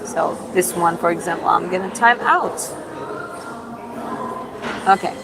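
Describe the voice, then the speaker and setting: A woman from Georgia, hosting a casual livestream Q&A and answering chat in an unhurried, thoughtful way with many fillers and restarts. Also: lowish voice, creaky, a loud crowd, poor audio. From Georgia (country). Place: public spot in Da Nang with other people around.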